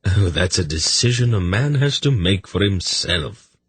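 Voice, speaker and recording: A man's voice: low voice, animated, measured pace, husky, no echo, full character voice. A man voicing a sly, wry rogue in a storybook, casual and drily teasing. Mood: wry, amused. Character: sly, wry, weasel-faced man, amused.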